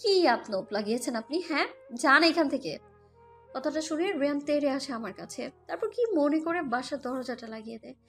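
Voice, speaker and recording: A woman acts out a hurt young mother narrating a romantic audio drama in the first person. Her voice is very high, with a dramatic, scolding edge and a defiant temper. Music runs underneath. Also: lively intonation, a little fast. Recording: music bed behind the voice.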